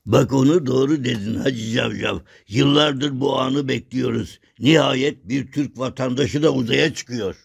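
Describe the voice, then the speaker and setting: A man's voice: medium pitch, a little slow, creaky, few pauses, slightly rough, full character voice. An actor voicing a jokey, affectionate folk puppet father in a children's cartoon, teasing and full of hearty exclamations. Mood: warm, curious.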